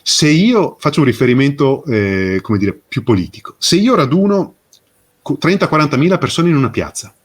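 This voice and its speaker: A man speaking as an interview guest, explaining with passion and emphasis, posing rhetorical questions and pausing for effect. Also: mid-range voice, very animated. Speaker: interview guest, fairy tale expert. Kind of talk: impassioned explanatory interview talk.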